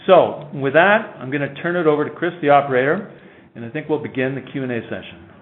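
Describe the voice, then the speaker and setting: A man's voice: mid-range voice, fast. Male company executive, earnings-call delivery, mid-range voice, phone-quality sound. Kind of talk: earnings call presentation and answers. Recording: muffled phone-line conference audio.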